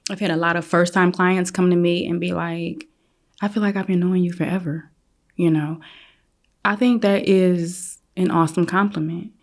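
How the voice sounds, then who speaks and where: Chatty gravelly-voiced woman in her forties. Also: fairly low pitch, fairly even intonation, moderate pace. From Detroit, USA. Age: 40s. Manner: laid-back, chatty, laughs easily. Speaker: photographer guest. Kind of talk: casual podcast interview. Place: brick-walled podcast studio. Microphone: desk mic on stand.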